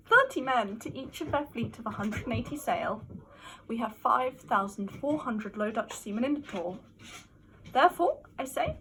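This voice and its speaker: A woman giving a theatrical, mock-scholarly dramatic reading of a classic novel, with emphatic delivery and a husky voice. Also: high-pitched, fairly bright tone, slight background noise, rough recording. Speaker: amateur reader.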